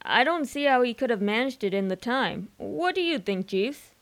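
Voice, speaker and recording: A woman playing a breezy, chummy, slightly bewildered young gentleman in a comic radio drama. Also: fairly high pitch, animated, normal speed. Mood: bemused, frank. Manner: chatty, frank.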